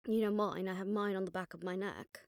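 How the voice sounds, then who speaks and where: A woman playing an exasperated, sharp-tongued witch detective in an audio roleplay, talking casually and wryly to a partner. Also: medium pitch, fairly even intonation, a little fast, no echo, studio quality, lightly acted. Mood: focused, explaining. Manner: casual, wry, mildly swearing, explanatory. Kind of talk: conversational audio roleplay acting.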